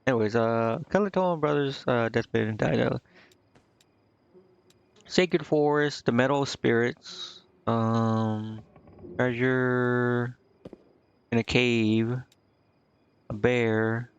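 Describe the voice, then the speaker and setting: A man's voice: mid-range voice, very slow, long pauses, clean tone, no echo, dull audio. A man giving casual live gameplay commentary, muttering and thinking aloud with hesitation. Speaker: game streamer. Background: game music.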